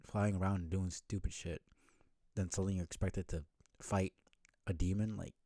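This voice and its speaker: A man's voice: deep voice, steady tone, moderate pace, dark tone, no room sound, studio quality. A man giving casual, rambling live-stream commentary in a blunt, sweary way. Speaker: streamer reading a webcomic aloud.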